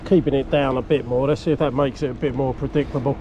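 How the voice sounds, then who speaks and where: A man in his forties, an excitable vlogger talking on a river while riding. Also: medium pitch. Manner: excitable, laughing, thinking aloud. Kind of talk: excited outdoor commentary. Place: outdoors on a river. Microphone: action camera. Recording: loud outdoor noise. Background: rushing water and outdoor noise.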